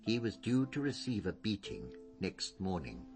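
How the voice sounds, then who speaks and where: An actor playing an elderly former schoolmaster in a radio crime drama, precise and pedantic. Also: male voice, lowish voice, sing-song, moderate pace, music in the background. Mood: precise, disapproving.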